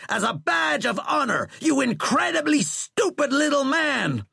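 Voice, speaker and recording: A man voicing an angry, outspoken army general in an audiobook, loud, forceful and scornful. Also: extremely high pitch, sing-song, a little slow, creaky, dry recording, clean studio sound, voice pushed up, exaggerated voice. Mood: roaring defiance. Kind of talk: audiobook character acting.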